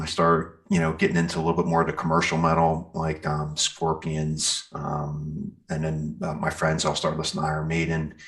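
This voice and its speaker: A man's voice: very deep voice, creaky, fairly bright tone. A middle-aged man being interviewed, telling stories in an informal, rambling, conversational way. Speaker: interview guest, metal singer and guitarist. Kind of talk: casual conversational storytelling.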